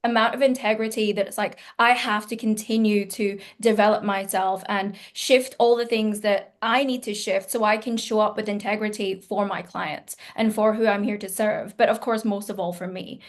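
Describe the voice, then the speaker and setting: A woman in her thirties hosting an interview on a video call, talking in an effusive, emphatic, conversational way. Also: medium pitch. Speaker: podcast host, healing teacher. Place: room at home, indoors, video call.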